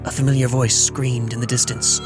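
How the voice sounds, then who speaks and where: A man narrating a dramatic fantasy story in a measured, solemn manner. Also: medium pitch, flat delivery. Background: music.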